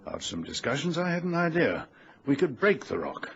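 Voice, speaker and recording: Actor as genteel old explorer, very animated, muffled recording. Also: male voice, on the high side, brisk, creaky. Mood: scholarly, expansive. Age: older. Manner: formal, genteel, expository. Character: genial, learned old titled English explorer, fatherly and dismissive. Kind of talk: old-time radio drama acting. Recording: muffled lo-fi radio recording with hiss.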